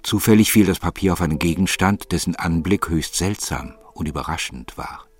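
A man with a husky voice narrating literary fiction in a measured, solemn manner. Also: low-pitched, lively intonation, soft background music, heavily acted.

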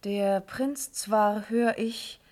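Slow-spoken, passionate young princess. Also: female voice, mid-range voice, a little mellow. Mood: grave, resolved. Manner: declamatory, solemn, measured. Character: noble young princess, passionate, pleading, proud and eloquent. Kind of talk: classical German verse radio drama acting.

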